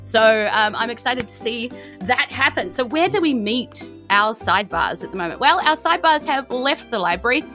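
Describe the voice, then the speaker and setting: A woman's voice: on the high side, expressive, normal speed, sharp tone, faint music behind. A woman hosting as game master, narrating a tabletop adventure in a casual, enthusiastic way. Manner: enthusiastic, chuckles through talk.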